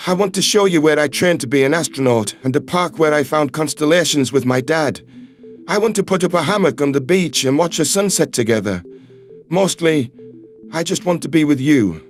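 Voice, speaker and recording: A man's voice: a little high, animated, normal speed, soft background music, voice pushed down, lightly acted. A man voicing an earnest, lovestruck human ambassador in a sci-fi audio drama, speaking in a heartfelt, pleading way. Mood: devoted, earnest. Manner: pleading, heartfelt, occasional swearing.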